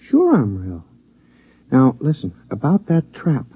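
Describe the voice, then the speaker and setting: An actor in an old radio drama playing a talking lab mouse, a plain-spoken, henpecked husband who dryly insists. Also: male voice, mid-range voice, wildly swooping pitch, a little slow, husky, no echo, full character voice. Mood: blunt, insistent. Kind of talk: 1950s radio drama acting. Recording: dull vintage radio broadcast recording.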